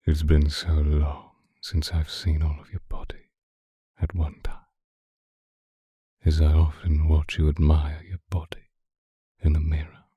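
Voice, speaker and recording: A man playing an obsessive, seductive vampire in an intimate, hushed ASMR roleplay, speaking deliberately and often whispering close to the microphone. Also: completely flat delivery, no room sound, heavily acted. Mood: seductive, menacing. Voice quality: very deep, breathy, husky, often whispering. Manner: slow, deliberate, hushed, formal wording. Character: seductive male vampire stalker, obsessive and predatory. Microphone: close microphone, dry sound.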